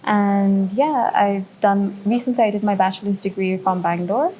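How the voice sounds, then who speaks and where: A woman's voice: mid-range voice, fairly even intonation, normal speed, muffled recording. A young woman in her twenties from Nepal speaks in a chatty, friendly way as a talk show guest. She leans on filler words like "like" and mixes Nepali words into her English. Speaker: pageant runner-up guest.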